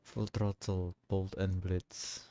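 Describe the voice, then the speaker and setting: A man's voice: deep voice, flat delivery, slow pace, warm tone. A man with an Indonesian accent giving casual, slangy, terse live commentary as he streams a game. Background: game music.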